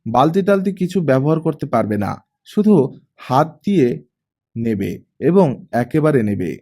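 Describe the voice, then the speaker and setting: A man's voice: medium pitch, very animated, a little slow, warm tone, breathy edge, exaggerated voice. A man voicing a talkative, playful, insistent young girl in an audiobook story. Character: chatty, eager young girl teasing her father. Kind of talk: audiobook character reading.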